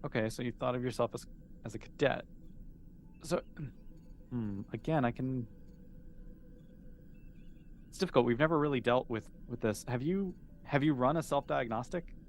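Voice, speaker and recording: A man's voice: mid-range voice, moderate pace, long pauses. Man game-mastering over noticeable background noise. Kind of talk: improvised tabletop game narration.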